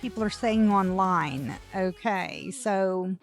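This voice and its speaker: A woman's voice: medium pitch, takes it slowly, creaky, faint music behind. A woman doing casual reaction commentary, sarcastic and mocking, often in short interjections.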